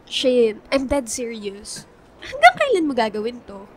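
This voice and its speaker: A woman's voice: a little high, huge pitch swings, measured pace, a little hiss or hum. A woman acting as a caring but exasperated young best friend in a radio drama, earnest, dramatic and scolding. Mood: earnest, concerned.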